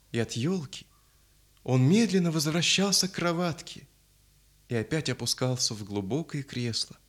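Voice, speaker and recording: A man narrating a scripted children's audio story in an expressive, dramatic manner. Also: mid-range voice. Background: background music.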